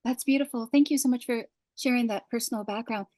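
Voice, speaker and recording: A woman's voice: on the high side, fast talker, darkish tone, no echo. Friendly lady interviewer, keeps affirming her guest, dry close mic. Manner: gracious, affirming, asks long questions. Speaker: podcast host. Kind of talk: podcast interview.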